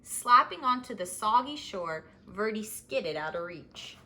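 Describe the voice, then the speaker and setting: A woman reading a children's story aloud as scripted, expressive narration. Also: mid-range voice, a little slow. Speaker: storybook reader.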